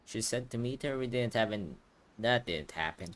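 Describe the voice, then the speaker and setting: A man's voice: medium pitch, animated, normal speed, fairly bright tone, a little hiss or hum. A man giving casual, rambling video game playthrough commentary, thinking aloud as he hosts. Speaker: gaming video host.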